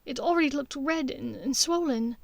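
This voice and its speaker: A woman's voice: high voice, animated, normal speed, dry recording, voice pushed up. A woman voicing a frightened, guilt-ridden young witch in an audiobook, with a breathless, plaintive delivery. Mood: shaken, frightened. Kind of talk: audiobook character voice.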